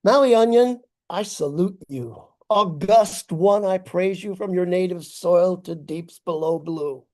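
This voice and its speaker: A man reads his own poetry over a video call, lingering on each phrase at an unhurried pace. His voice is mellow and soft-edged, and his delivery is deliberate and declamatory. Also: high-pitched. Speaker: poet reading his work. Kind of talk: dramatic poetry reading.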